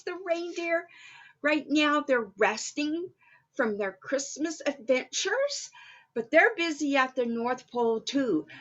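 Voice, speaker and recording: Woman playing a high, animated Mrs Claus. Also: muffled recording, full character voice. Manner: exclaiming, addressing young children directly with questions. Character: Mrs Claus, cheerful motherly storyteller at Santa's workshop. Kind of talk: children's story time, read aloud.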